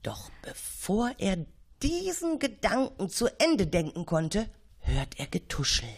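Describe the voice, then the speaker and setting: A woman's voice: medium pitch, sing-song, slow, gravelly, slightly rough. A woman narrating a children's audio story dramatically and suspensefully, sometimes dropping toward a whisper. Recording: music behind the voice.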